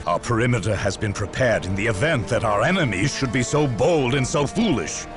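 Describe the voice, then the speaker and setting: An actor playing a proud, resolute space marine commander in a game, declaiming with commanding heroic oratory. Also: male voice, medium pitch, lively intonation, a little fast, music in the background, close to an everyday voice. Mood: confident.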